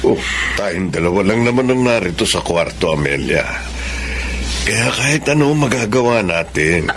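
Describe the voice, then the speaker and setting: A man's voice: on the low side, animated, moderate pace. An actor playing a well-off, lecherous married man in a Filipino radio drama, with an emphatic, suggestive delivery. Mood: lecherous, coaxing. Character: lecherous, well-off married male client, smooth then nasty. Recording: clearly noisy background ambience.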